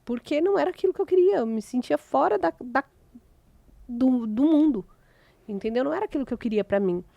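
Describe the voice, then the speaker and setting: A woman's voice: high-pitched, expressive, brisk, vocal fry, dark tone, no echo, highs cut off. A Brazilian woman, a writer and mentor, speaking earnestly and motivationally as a podcast host. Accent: Brazilian Portuguese. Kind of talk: casual conversation.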